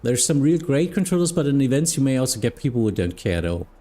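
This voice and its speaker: A man from Germany with a German accent, speaking English as a second language, chatting casually with viewers on a live stream, often pausing to search for words and restarting sentences. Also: mid-range voice, sing-song, dark tone. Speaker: flight sim streamer and air traffic control mentor.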